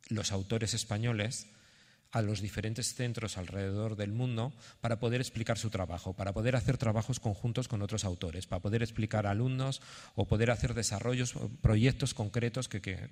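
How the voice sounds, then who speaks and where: Guy with a low voice presenting at a conference, kinda halting, explaining stuff, big echoey hall. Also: a little mellow. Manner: halting, explanatory, thinking aloud. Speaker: theatre institution representative presenting a project. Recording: echoey hall with long reverb.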